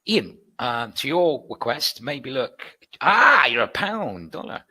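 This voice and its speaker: Bright-voiced British man hosting a webinar. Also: mid-range voice, big pitch swings, slow pace, breathy edge. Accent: British English. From United Kingdom. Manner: chatty, upbeat, reads out and answers viewer requests. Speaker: trading educator hosting a webinar. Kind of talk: live webinar Q&A.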